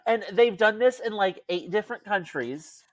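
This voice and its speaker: A woman's voice: mid-range voice, lively intonation, normal speed, dry recording, rough recording. A young adult American woman co-hosting a podcast, telling casual anecdotes expressively and acting out quoted dialogue with some exasperation. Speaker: podcast co-host. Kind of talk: casual chat, storytelling.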